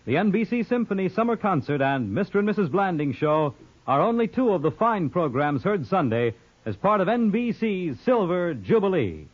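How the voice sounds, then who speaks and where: A man's voice: high-pitched, very animated, moderate pace. A man working as a network announcer, reading a scripted promo in 1950s radio style. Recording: dull old 1950s radio recording.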